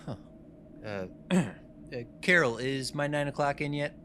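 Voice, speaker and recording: A man's voice: on the high side, lively intonation, unhurried, pushed and bright. A man playing a self-important, money-driven office boss in a radio drama, businesslike and self-satisfied, over a noisy background. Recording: clearly noisy room background.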